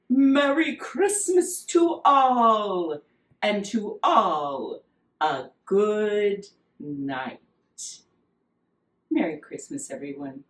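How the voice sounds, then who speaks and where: A woman telling a children's story as Mrs. Claus, reading expressively and deliberately with dramatic, emphatic pauses, recorded with the microphone at a distance. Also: fairly low pitch. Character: Mrs. Claus, cheerful storyteller wife of Santa. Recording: roomy echo, distant microphone.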